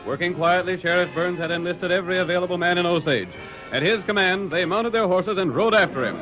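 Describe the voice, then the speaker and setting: A man's voice: high voice, a little fast, natural voice. A man as an American announcer giving dramatic scripted narration for an old-time radio Western. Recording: dull old radio recording. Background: music.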